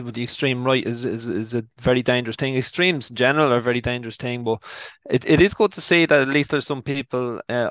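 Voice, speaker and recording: A man with an Irish accent, co-hosting a video call discussion, speaking in a measured, hesitant way with fillers. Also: mid-range voice, fairly bright tone, no echo. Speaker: co-host. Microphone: headset. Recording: dull, dry headset audio.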